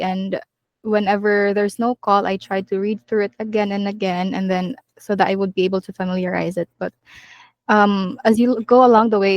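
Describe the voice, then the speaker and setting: A woman in her twenties, a call center agent being interviewed, answering earnestly with many pauses and ums. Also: medium pitch, dry recording. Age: 20s. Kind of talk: casual interview chat.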